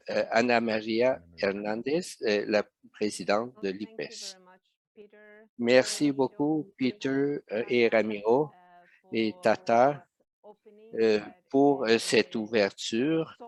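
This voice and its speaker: A man interpreting a webinar live, speaking with frequent hesitations and self-corrections. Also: medium pitch. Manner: hesitant, many fillers and restarts.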